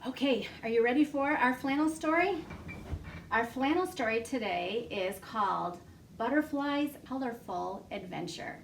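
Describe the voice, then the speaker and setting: A woman librarian reading a picture story aloud to young children from her home, animated and full of gasps. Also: on the high side, measured pace, vocal fry, recorded from a distance, slight background noise. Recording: echoey room, voice off-mic.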